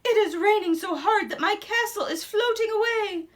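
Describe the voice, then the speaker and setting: A woman voicing a dramatic storybook princess in a high-flown, pleading manner. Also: moderate pace. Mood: pleading, urgent.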